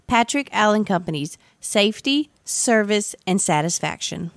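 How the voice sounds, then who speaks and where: Female ad voice, mid pitch, polished scripted read, dry close studio sound. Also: lively intonation, moderate pace, no room sound. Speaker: ad announcer.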